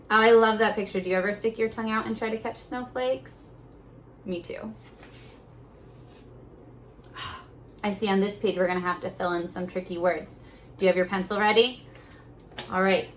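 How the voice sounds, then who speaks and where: A young woman teacher at home on her kitchen floor, reading aloud slowly and sounding out words for young children. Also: fairly high pitch, fairly bright tone, a little hiss or hum. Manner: slow, encouraging, sounding out words.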